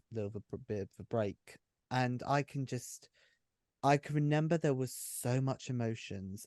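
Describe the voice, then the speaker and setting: A man's voice: mid-range voice, a little mellow, no echo, clean studio sound. A man who writes and runs an audio drama, chatting casually and effusively, often repeating his phrases. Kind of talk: casual behind-the-scenes chat.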